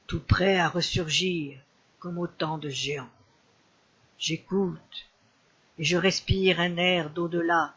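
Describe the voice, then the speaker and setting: A man with a high voice recites legendary poetry at a slow pace. His delivery is solemn and declamatory, with measured phrases. The recording is slightly dull. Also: a bit bright, slightly rough. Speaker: poetry reader.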